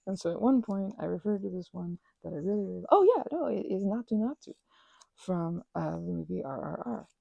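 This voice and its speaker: A woman rambles through a soft-spoken crafting chat, eager and full of asides. Her voice is mellow and breathy with a gentle vocal fry. The sound is dry and close to the microphone. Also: lowish voice, brisk, dry recording, lo-fi sound. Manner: chatty, enthusiastic, trails off into restarts and asides. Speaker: ASMR channel host.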